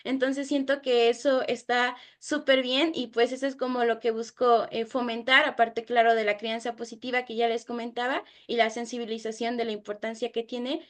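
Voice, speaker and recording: A woman's voice: a little high, flat delivery, normal speed, hardly any pauses. A young woman speaking as a guest, earnestly explaining her project in an informal panel presentation.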